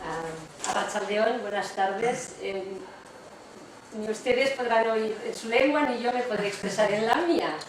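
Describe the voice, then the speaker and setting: A woman's voice: fairly high pitch, a little slow. A woman lecturer, a native Catalan speaker with a Catalan accent, giving a conversational, explanatory public talk punctuated by tag questions. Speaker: university economics lecturer, guest speaker. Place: lecture room with strong echo. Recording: very echoey room, distant mic, noisy.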